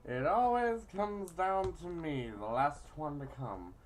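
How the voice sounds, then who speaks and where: A woman's voice: low-pitched, expressive, slow pace. A woman casually reading a visual novel aloud as she plays, theatrical and giggly, with crude improvised jokes. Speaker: host of a visual novel playthrough. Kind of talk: casual playthrough narration, improvised crude joking.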